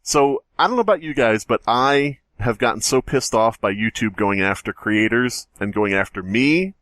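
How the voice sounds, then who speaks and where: A man hosts a podcast in casual, sweary conversation. His voice is medium in pitch, and the recording is very dry and close. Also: animated, normal speed, fairly bright tone, no room sound. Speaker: podcast host. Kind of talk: casual, profane chat and storytelling.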